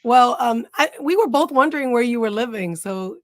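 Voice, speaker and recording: A woman hosting a podcast in a relaxed, casual way, filling her talk with "um" and "like". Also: fairly high pitch, normal speed, no room sound, studio quality. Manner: relaxed, many fillers like "um" and "like". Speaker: podcast host.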